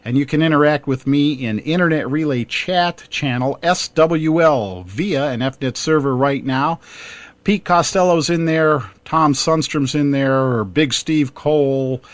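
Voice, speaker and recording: A man hosting a live radio hobby netcast, talking casually with frequent pauses and fillers. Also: fairly high pitch, dry recording. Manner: halting, many filler pauses. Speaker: host.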